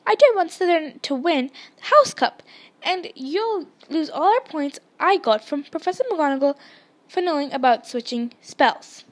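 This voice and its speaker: A woman's voice: very high voice, very animated, unhurried, voice pushed up, exaggerated voice. A woman voicing a bossy, indignant young schoolgirl in a storybook, snapping and scolding shrilly. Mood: angry scolding. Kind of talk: dramatised storybook reading.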